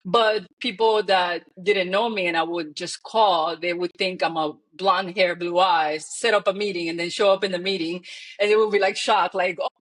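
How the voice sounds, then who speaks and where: A woman with a Dominican accent chats as an interview guest. Her telling is animated, and she jumps into the voices of the people she quotes. She laughs often as she talks. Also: on the low side, normal speed, poor audio. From Dominican Republic. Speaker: guest, real estate founder. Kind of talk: conversational interview.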